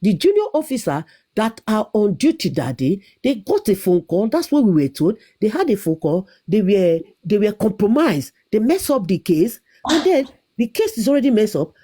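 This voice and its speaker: Nigerian woman, young adult, insistent debater who won't yield the floor; mellow, animated delivery. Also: medium pitch, moderate pace, husky. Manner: insistent, talks over interruptions, repeats herself. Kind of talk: heated debate.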